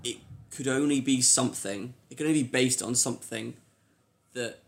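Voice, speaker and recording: A man's voice: medium pitch, moderate pace, creaky. Male co-host in casual conversation. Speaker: podcast co-host. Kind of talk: casual chat.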